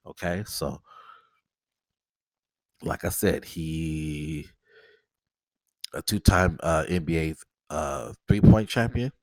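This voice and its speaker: Man, podcast host, nasal, stuffy with a cold, rambling, low voice, dry sound. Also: slow, pauses often, darkish tone, no echo. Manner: rambling, repeats himself, talks to viewers directly. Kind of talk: casual solo commentary.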